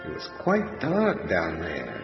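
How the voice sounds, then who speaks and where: An actor playing a gleefully macabre undead horror host for a radio series, with theatrical, mock-genteel delivery. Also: male voice, low voice, wildly swooping pitch, slow, gravelly, exaggerated voice. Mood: sly, ghoulish. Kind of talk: old-time radio horror hosting. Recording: dull sound, long echoing reverb. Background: music.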